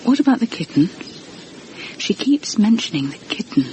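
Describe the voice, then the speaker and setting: A woman's voice: medium pitch, sing-song, normal speed, dark tone, slight background noise, close to an everyday voice, rough recording. A woman playing a concerned, inquisitive lady in a vintage radio horror drama, speaking in an earnest, probing way. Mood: puzzled, probing. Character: concerned, inquisitive lady questioning her housekeeper.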